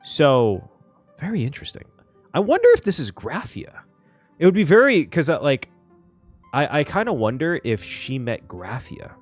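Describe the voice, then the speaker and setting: A man hosting a casual reaction video on a game story, excitable and given to repeating phrases for emphasis. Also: on the high side, moderate pace, dry recording, soft background music.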